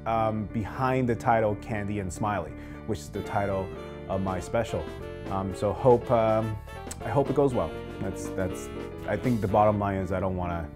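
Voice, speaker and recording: A man from Taiwan, a native Mandarin speaker, talking in a relaxed, reflective way in a documentary interview in a small hotel room. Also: lowish voice. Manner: relaxed, reflective, soft-spoken. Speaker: comedian. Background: music.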